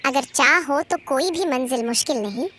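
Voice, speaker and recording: High-pitched brave little bird, acted by a woman. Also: moderate pace, background noise, lightly acted. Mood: bold, hopeful. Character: small brave young female bird, determined and earnest. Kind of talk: Hindi cartoon story dialogue.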